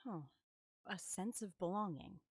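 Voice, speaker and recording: A woman's voice: mid-range voice, a little slow, breathy edge. A woman playing a reserved, solitary young woman in a video game, hesitant and sparing with words. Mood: uncertain. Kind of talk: scripted video game voice acting.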